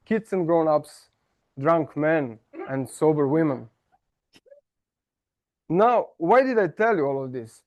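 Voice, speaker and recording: A man with a Serbian accent delivers a comic club speech in a fairly high voice. He pokes fun at himself and stumbles into small restarts. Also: lively intonation, darkish tone, lo-fi sound. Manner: self-mocking, playful, halting restarts. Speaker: club speaker. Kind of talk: humorous prepared speech. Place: meeting room.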